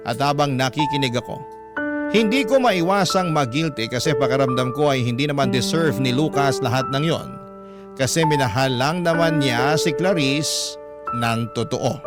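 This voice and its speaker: A Filipino man narrates in classic radio storytelling style. His voice sits a little high for a man and sounds earnest and confiding. Music plays clearly underneath. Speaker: radio storyteller reading a listener's letter. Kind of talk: dramatic scripted narration in classic radio manner. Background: music bed.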